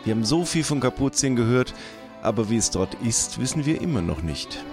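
A man's voice: mid-range voice, very animated, fairly quick. A man reading a diary-style introduction to an audio adventure. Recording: guitar music under the voice.

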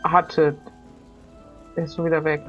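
A woman plays a practical pirate woman steering her crew with casual teasing. Her voice is on the low side, recorded a little dully with music underneath. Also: level tone, moderate pace, lo-fi sound, close to an everyday voice. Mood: practical, uncertain. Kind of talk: improvised pirate adventure role-play.

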